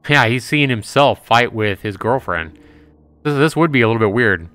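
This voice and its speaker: A man's voice: mid-range voice, expressive, normal speed, a bit bright, dry recording. A man livestreaming a story game, casually reading dialogue aloud and adding his own informal commentary. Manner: informal, retells dialogue with "she's like".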